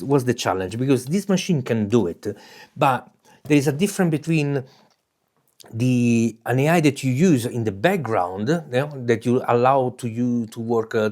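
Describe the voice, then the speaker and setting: Italian-accented man, halting tech talk. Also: medium pitch, darkish tone. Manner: halting, many fillers and restarts, laughs often. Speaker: tech video host. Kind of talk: casual unscripted explainer.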